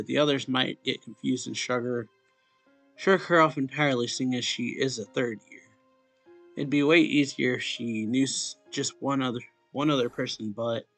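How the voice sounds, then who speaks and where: Gravelly-voiced man reading haltingly over music. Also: medium pitch, fairly bright tone, rough recording. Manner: halting delivery, stumbling and rereading lines. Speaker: let's play host. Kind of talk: reading a visual novel aloud.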